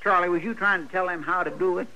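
A man's voice: high voice, steady tone, fast, sharp tone, lo-fi sound, exaggerated voice. An actor playing a fussy, loyal frontier townsman with a rural Western American drawl in a 1950s radio western. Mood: gentle, humoring. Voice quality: twangy drawl. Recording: noisy old radio recording.

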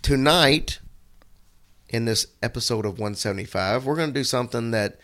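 A man co-hosting a podcast, hoarse and raspy from a cold, talking in an earnest, rambling way. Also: mid-range voice, normal speed, a bit bright. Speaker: podcast co-host. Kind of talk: casual chat.

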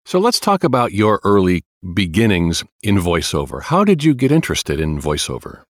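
A man's voice: sits low, huge pitch swings, normal speed, no room sound, clean studio sound. A man hosting an interview, asking conversational, probing questions. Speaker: interview host. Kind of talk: podcast interview.

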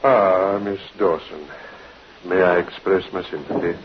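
An actor playing a courteous, sympathetic doctor in a vintage radio drama, formal and kindly, on a muffled, hissy recording. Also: male voice, low voice, fairly even intonation, measured pace. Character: courteous, sympathetic, businesslike male attending doctor. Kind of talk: 1950s radio acting.

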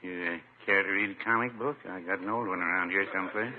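An actor playing a mild, fussy older small-town druggist, dry and hesitant, in 1950s radio sitcom style. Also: male voice, low voice, quick pace, close to an everyday voice. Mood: placid. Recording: muffled, noisy old radio recording.

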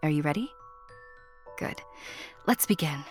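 A woman narrating a scripted story straight to the listener in a playful, intimate, theatrical way, often hushed. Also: sits low, expressive, unhurried, soft background music.

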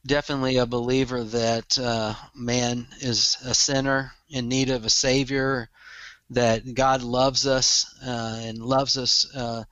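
A man's voice: medium pitch, flat delivery, unhurried, fairly bright tone, no echo, lo-fi sound. A middle-aged man with a Texan accent, a podcast guest chatting casually and chuckling often as he talks. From Texas. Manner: easygoing, chuckles often, repeats words. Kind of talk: casual interview chat.

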